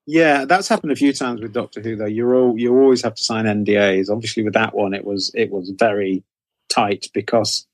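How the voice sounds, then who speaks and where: A man's voice: fairly low pitch, steady tone, fairly quick, dry recording. A middle-aged man with a British accent, telling anecdotes as an interview guest in a rambling, self-interrupting way.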